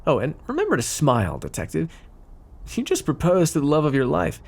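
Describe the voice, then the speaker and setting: A man's voice: fairly high pitch, huge pitch swings, a little fast, heavily acted. A man playing a smug, flirty, scheming mafia boss in an ASMR roleplay, teasing and theatrical. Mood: pleased, teasing. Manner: teasing, smooth-talking, theatrical. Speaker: voice actor in audio roleplay. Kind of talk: ASMR roleplay acting, addressed to listener.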